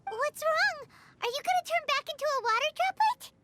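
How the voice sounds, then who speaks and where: An actress playing a tiny, perky, childlike sidekick in a video game, with a squeaky, bubbly, eager voice. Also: female voice, expressive, moderate pace, bright, forceful tone, slight background noise, heavily acted. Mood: concerned. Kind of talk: cartoonish video game dialogue.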